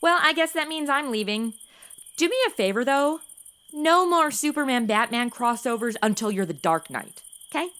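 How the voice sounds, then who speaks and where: A woman's voice: a little high, lively intonation, normal speed, fairly bright tone, a little hiss or hum. A woman playing a slangy, sardonic young American in a comic audio drama. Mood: teasing. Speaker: show host. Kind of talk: comic audio drama, casual modern banter.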